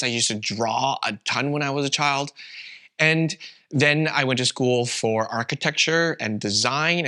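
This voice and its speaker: A man from Canada, whose first language was Vietnamese, co-hosting a podcast in a relaxed, rambling chat. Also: mid-range voice. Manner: relaxed, rambling, with many fillers. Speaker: podcast co-host. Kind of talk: casual chat.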